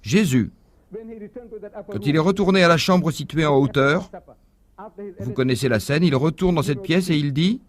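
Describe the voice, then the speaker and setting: A man giving a measured, didactic religious lecture as a voice-over, posing rhetorical questions to the audience. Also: on the high side. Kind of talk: dubbed lecture, explaining a point.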